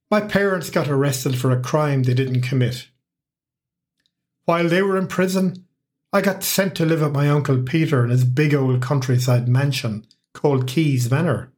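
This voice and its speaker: A man's voice: a little high, darkish tone. Wry Irish man telling a story. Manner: wry and humorous. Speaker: podcast host and narrator. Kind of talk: scripted first-person story narration.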